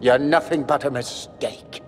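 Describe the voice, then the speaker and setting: An actor playing a haughty, falsely courteous middle-aged magister in a video game, smooth and condescending. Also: male voice, medium pitch, steady tone, a little slow, lightly acted. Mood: cold, contemptuous. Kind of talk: video game cutscene acting. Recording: long hall reverb, music underneath.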